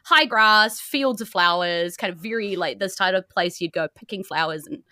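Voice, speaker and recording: A woman's voice: medium pitch, normal speed, bright, forceful tone, smooth, no room sound, clean studio sound. A woman with a New Zealand accent, narrating a tabletop game as dungeon master in casual, colloquial language.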